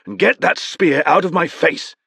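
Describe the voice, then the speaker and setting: Male narrator as a tense commanding warrior, raised, urgent, lively. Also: on the high side, moderate pace, creaky, slightly rough, no room sound, clean studio sound, far from the mic, pitched above the performer's own voice, heavily acted. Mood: strained. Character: determined male warrior leader under strain.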